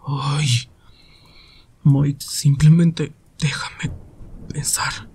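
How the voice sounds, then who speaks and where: A man playing a tired, gloomy, reserved hero teacher in an anime roleplay, with a subdued, breathy voice. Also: on the high side, flat delivery, very slow, a little mellow, full character voice. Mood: weary, irritated. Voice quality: husky, breathy. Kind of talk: anime fan-dub ASMR roleplay.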